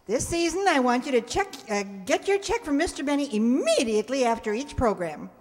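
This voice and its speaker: A woman's voice: high voice, sing-song, a little fast, darkish tone, a little hiss or hum. An older woman playing a bossy, exasperated, sardonic mother in an old-time radio comedy. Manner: scolding, sardonic. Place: amateur stage reading in a hall. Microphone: stand microphone. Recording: echoey stage in a large hall.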